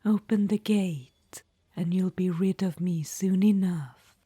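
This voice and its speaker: A woman's voice: lowish voice, takes it slowly, dark tone, husky, dry recording. A woman voicing an imperious, fond undead witch-queen in an ASMR roleplay, whispering throughout and calling the listener darling. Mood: haughty. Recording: dry close-mic whisper.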